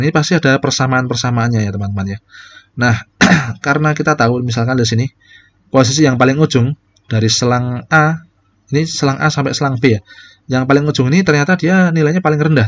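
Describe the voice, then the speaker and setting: A man's voice: medium pitch, lo-fi sound, slight background noise. A man teaching calculus in a casual, step-by-step way, often correcting himself. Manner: casual, explains step by step, many fillers and self-corrections. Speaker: calculus lecturer. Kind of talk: recorded calculus lecture.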